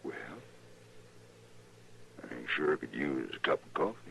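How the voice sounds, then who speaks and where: A very low, forceful male voice as a sly frontier freight boss. He talks folksy and insinuating, smooth but pushy, in a radio Western with some background noise. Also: big pitch swings, a little slow, exaggerated voice. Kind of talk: old-time radio Western drama acting. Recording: noisy vintage radio drama recording.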